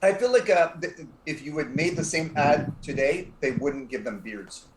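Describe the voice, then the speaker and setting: A man chatting casually as a panel guest, joking and halting as he finds his words. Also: on the high side, animated, a crowd in the background. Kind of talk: casual chat. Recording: echoey room.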